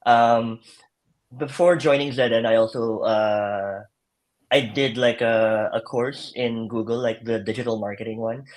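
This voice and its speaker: A young man speaks as a guest over a video call at a middling pitch. He is relaxed and easygoing, restarting phrases and saying "like" often as he talks. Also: measured pace. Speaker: guest, former intern. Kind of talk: casual live-stream chat. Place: home, Madrid.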